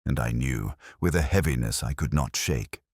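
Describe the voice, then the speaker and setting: A man reading a scripted first-person detective story in a measured, literary, brooding manner. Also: extremely low pitch, expressive, breathy edge, no room sound, clean studio sound. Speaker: narrator.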